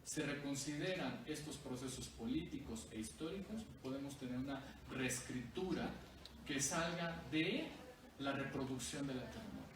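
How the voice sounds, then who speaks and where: A man's voice: medium pitch, moderate pace. A man giving a discursive academic talk at a book presentation, often adding tag questions. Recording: echoey distant room recording with noise.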